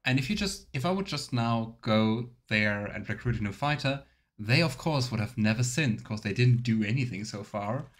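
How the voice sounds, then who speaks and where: A man's voice: mid-range voice, expressive, normal speed. A man streaming a game with casual, rambling commentary, musing and thinking aloud. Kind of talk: casual Let's Play commentary. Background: faint game music.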